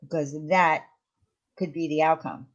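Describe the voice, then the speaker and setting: Lower-pitched woman livestreamer, slightly muffled. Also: fairly even intonation, unhurried. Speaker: livestream host. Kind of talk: casual chat with live viewers.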